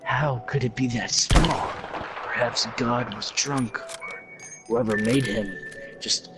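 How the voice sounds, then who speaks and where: Guy making up a funny story for his friends, slow mock-serious delivery, loud music playing behind him. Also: medium pitch, fairly even intonation. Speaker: storyteller and organiser in an improv story game with friends. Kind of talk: improvised comic storytelling among friends.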